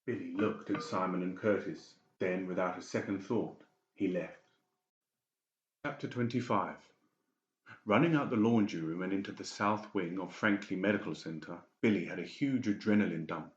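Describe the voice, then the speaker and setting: A man's voice: low-pitched, moderate pace. A man reading scripted dramatic narration for an audiobook. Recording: muffled, echoey home recording.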